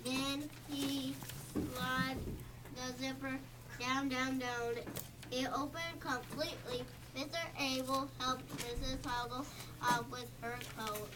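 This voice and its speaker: A young, high-pitched reader works slowly through a story. The delivery is halting and careful, with small stumbles. The room around the recording is clearly noisy. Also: level tone. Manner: halting, word-by-word reading with stumbles. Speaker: student reader in readers theatre. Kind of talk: reading a story aloud.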